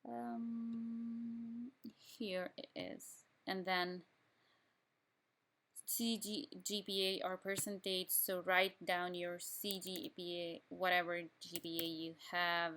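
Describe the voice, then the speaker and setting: A woman's voice: on the low side, fairly even intonation, slow, a bit bright, a little hiss or hum. Young woman walking through a form. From Pakistan. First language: Urdu. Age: young adult. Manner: plain step-by-step instructions with frequent restarts. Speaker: student explaining an application form. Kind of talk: screen-recorded tutorial walkthrough.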